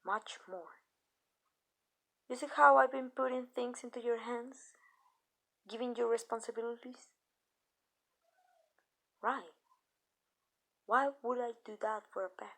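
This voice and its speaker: Creaky, hushed vampire mistress, acted by a woman. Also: on the high side, fairly even intonation, unhurried, long pauses. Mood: tender, confiding. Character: possessive, tender female vampire mistress. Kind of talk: ASMR roleplay, intimate scripted monologue.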